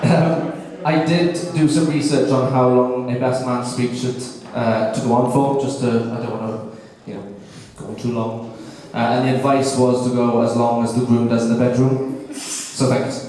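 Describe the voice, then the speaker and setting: A man's voice: mid-range voice, flat delivery, moderate pace, warm tone. A man in his thirties with a Welsh accent, giving a best man's speech at a wedding in a joking, self-deprecating way with many ums and uhs. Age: 30s. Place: large reception room at a wedding. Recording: echoey hall, voice from far off. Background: guests murmuring and laughing.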